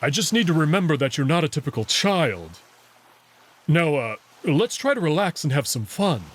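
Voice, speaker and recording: A man voicing a self-assured, teasing male wolf character from a visual novel, casual and gently bossy. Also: fairly high pitch, very animated, normal speed. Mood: firm, reassuring. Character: confident, teasing male anthropomorphic wolf, commanding yet caring.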